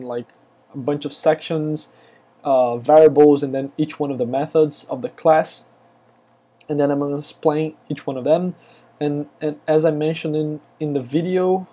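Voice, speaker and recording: Slow, mellow Brazilian-accented man. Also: fairly high pitch. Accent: Brazilian Portuguese. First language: Portuguese. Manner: halting, many fillers and restarts. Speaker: web developer. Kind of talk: screencast tutorial.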